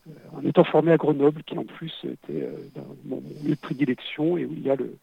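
Husky man explaining on a phone line. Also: fairly high pitch, fast, no room sound. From French Alps. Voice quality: stuffy, husky. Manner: explains carefully, many repeated starts. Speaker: guest scientist. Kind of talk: radio interview by phone. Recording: muffled dry phone line.

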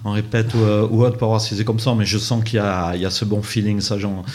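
A man's voice: lowish voice, flat delivery, fast talker, a little mellow. A man hosting a radio interview, rambling and jokey, with many fillers and restarts. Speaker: radio host.

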